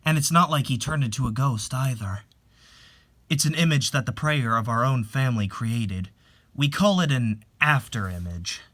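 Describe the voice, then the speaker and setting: A man playing a calm, gentle young married man in a dramatic reading, soft-spoken and reflective. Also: mid-range voice, normal speed. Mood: wistful, explanatory.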